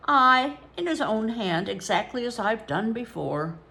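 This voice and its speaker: A woman's voice: a little high, sing-song, measured pace, pushed and bright, heavily acted. A woman voicing a young boy apprentice who answers in short, grudging replies. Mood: curt.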